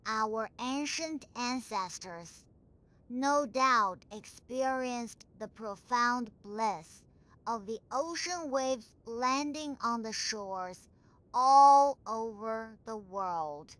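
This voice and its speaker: Woman, bedtime story narrator, bright clear-edged tone, extremely slow pace, soothing measured scripted delivery. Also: on the high side, lively intonation, rough recording.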